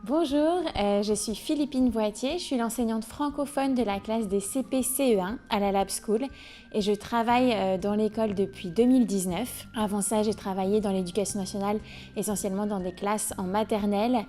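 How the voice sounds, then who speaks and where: Mellow-voiced woman teacher. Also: medium pitch, normal speed, soft background music. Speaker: primary school teacher. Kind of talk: recorded self-introduction.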